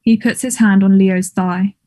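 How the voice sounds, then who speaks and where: A woman with a mellow, mid-pitched voice narrates a play script. She reads out the stage directions for a table read. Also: fairly even intonation, measured pace.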